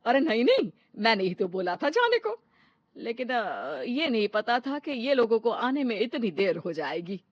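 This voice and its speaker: A woman's voice: fairly high pitch, very animated, normal speed. A woman playing an older, homely mother-in-law in a story, fussing and easily hurt, on a dull recording. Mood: conciliatory.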